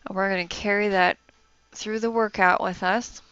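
A soft-spoken young woman, a yoga instructor, giving gentle, encouraging instructions and breathing cues. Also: mid-range voice, fairly even intonation, normal speed, clear, resonant tone, no echo, lo-fi sound. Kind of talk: guided yoga class instruction.

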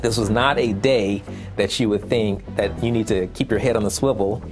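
A man gives a news soundbite, explaining each point slowly and with emphasis. His voice sits on the low side, and music runs clearly underneath. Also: steady tone.

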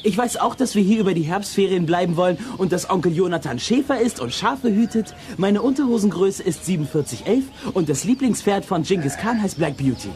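A man's voice: high-pitched, expressive, brisk, few pauses, a little mellow, a little hiss or hum. An actor playing a defiant, hot-tempered boy in a children's audio drama, cheeky and emphatic. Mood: irritated.

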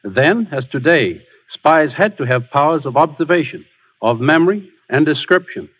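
Formal lecturing radio guest. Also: male voice, fairly high pitch, lively intonation, moderate pace, slightly rough. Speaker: guest expert. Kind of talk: prepared radio remarks. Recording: muffled old radio broadcast.

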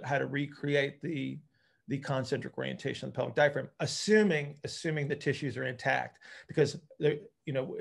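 A man's voice: fairly high pitch, vocal fry. A middle-aged man explaining technical ideas informally as a coach on a video call, repeating words as he thinks aloud. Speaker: coach and physical therapist hosting a group call. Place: indoor room at home, group video call.

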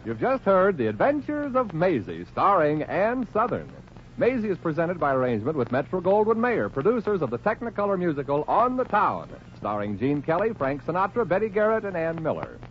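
A man's voice: fairly high pitch, big pitch swings, brisk. A man announcing in a polished, showy, scripted 1940s radio style. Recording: dull old radio recording. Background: music.

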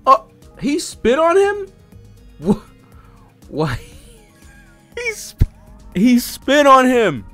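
A man's voice: extremely high pitch, huge pitch swings, drawn-out words, pauses often, bright, forceful tone, faint music behind. A man in his thirties gives casual, slangy, profane live reaction commentary into a close desk microphone, reading panels aloud as he goes. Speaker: manga reaction streamer. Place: small indoor streaming setup. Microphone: close desk-arm microphone.

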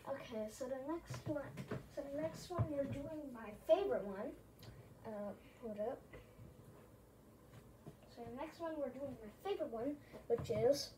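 Young female vlogger, high voice, slow and hesitant, repetitive casual chat, noisy home recording. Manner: hesitant, often repeating phrases. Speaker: vlogger showing a plush toy collection.

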